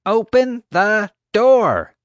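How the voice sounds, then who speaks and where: A man's voice: sits high, sing-song, drawn-out words, no echo, clean studio sound, voice pushed up, full character voice. Man putting on a bellowing bossy woman guard. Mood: angry, bossy. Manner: barking, growling commands. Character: bossy loud adult woman security guard.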